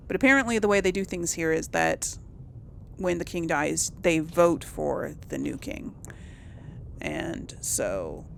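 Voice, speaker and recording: A woman's voice: on the low side, gravelly, slight background noise. A woman doing casual video game commentary, rambling and thinking aloud in an unsure way. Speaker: video game let's-play host.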